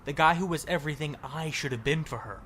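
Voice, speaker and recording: A man's voice: a little high, fast, bright, forceful tone, a little hiss or hum. A man playing a bitter, regretful survivor in a zombie audio drama, sarcastic and slangy but caring underneath. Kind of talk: audio drama acting, casual profane dialogue.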